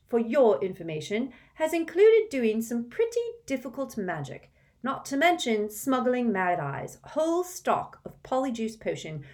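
A woman's voice: a little high, warm tone, pitched above the performer's own voice. A woman voicing an earnest, bookish teenage girl witch who turns tearful and quavering. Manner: tearful, quavering in sad moments.

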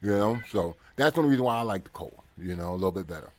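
A man's voice: sits low, lively intonation, very fast, darkish tone. A man co-hosting a casual talk show, speaking bluntly and swearing heavily as he chats. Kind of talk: casual chat.

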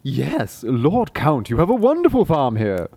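Dude doing a king all impressed, loud and animated, storybook. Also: on the high side, a little slow, vocal fry, no room sound, pitched above the performer's own voice, exaggerated voice. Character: amazed, admiring old male king.

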